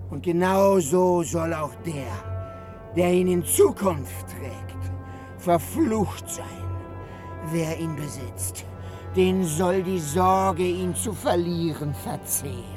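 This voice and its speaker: An actor playing a vengeful, anguished dwarf in a fantasy radio drama, theatrical and bitter, moving between shrill cries and hisses. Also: male voice. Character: vengeful, anguished male dwarf, cursing and gloating. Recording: loud dramatic music underneath.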